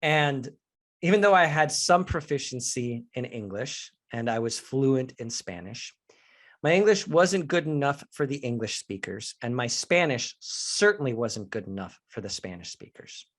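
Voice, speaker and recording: A man's voice: medium pitch, lively intonation, moderate pace, fairly bright tone. A man in his forties from Mexico, a professional speaker leading an online workshop on confidence, talking in a candid and earnest way straight to his audience. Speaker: leadership trainer and professional speaker. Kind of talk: live online workshop presentation.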